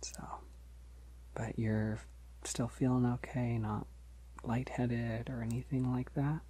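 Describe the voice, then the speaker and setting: A man playing a polite, gentle, shy vampire in an ASMR roleplay, speaking softly and hesitantly to reassure the listener, often near a whisper. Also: mid-range voice, flat delivery, a little hiss or hum, close to an everyday voice, rough recording. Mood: friendly, encouraging. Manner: hesitant, reassuring, halting with soft chuckles.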